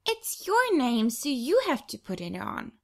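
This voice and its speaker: A woman voicing an excited, playful little girl in an audiobook, with an eager childlike delivery. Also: sits high, a little slow, gravelly, pitched above the performer's own voice, exaggerated voice. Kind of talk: audiobook character voice.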